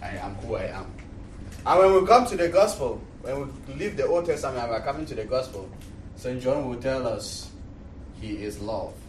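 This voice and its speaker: Cameroonian priest teaching in an echoey, noisy room. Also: male voice, mid-range voice, animated, normal speed. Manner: repeats phrases for emphasis. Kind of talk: religious class lecture. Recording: distant mic, echoey room, loud noise.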